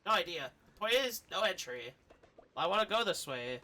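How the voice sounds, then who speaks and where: A woman streaming a game, giving expressive, casual commentary and reading dialogue aloud, sometimes swearing. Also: fairly low pitch, fairly quick. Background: faint video game music.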